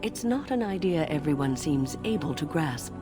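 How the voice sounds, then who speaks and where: An actress playing a wise older female mage in a fantasy video game, speaking in a measured, gently instructive way. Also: low-pitched, animated, over loud music. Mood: gracious.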